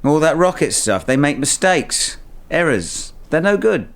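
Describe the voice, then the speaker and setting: An actor playing a cocky, evasive informant under questioning in a radio drama, offhand and flippant. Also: male voice, on the high side, big pitch swings, unhurried, creaky, exaggerated voice. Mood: defensive. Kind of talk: naturalistic radio drama acting.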